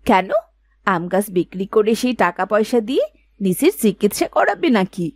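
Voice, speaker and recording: Woman playing a lively, questioning village wife. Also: mid-range voice, normal speed. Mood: agreeable. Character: questioning, sharp-tongued village housewife with big hopes. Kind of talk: scripted cartoon dialogue in a Bengali folk tale.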